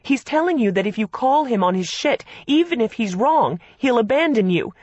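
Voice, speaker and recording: A woman reading an audiobook voices an angry, protective older sister who scolds loudly in a raised, exasperated voice. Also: a little high, unhurried, no echo, clean studio sound, voice pushed up.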